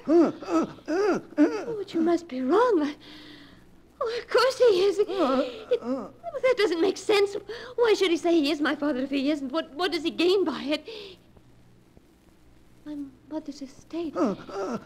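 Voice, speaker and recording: An actress playing a frightened young woman who fears for her sanity, breathless and halting, in 1940s radio melodrama style. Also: high-pitched, darkish tone. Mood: hysterical, tearful. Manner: breathless, halting, repeating words in dread. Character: frightened young heiress fearing she is going insane. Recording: noisy 1940s radio broadcast recording.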